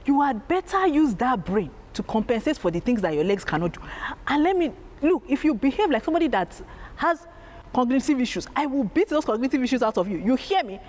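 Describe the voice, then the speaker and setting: A woman with a strong, loud voice, telling stories about her family as an interview guest, animated and laughing as she acts out her parents. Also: high voice, a little fast. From Nigeria. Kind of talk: candid storytelling.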